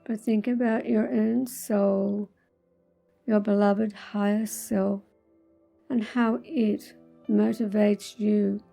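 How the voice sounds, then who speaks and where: A woman leading a spiritual guided meditation, speaking in measured, deliberate phrases with pauses in a soothing manner. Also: medium pitch, flat delivery, creaky, dark tone, faint music behind.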